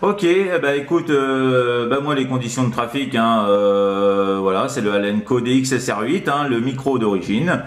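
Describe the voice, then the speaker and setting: Halting man in an echoey room. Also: medium pitch. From France. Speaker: amateur radio operator. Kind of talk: casual radio contact chat. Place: indoors, sixth-floor apartment.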